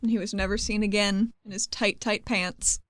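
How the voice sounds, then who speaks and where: A woman's voice: mid-range voice, fairly even intonation, normal speed, a bit bright, no room sound. A woman playing a tabletop role-playing game, chatting and narrating casually.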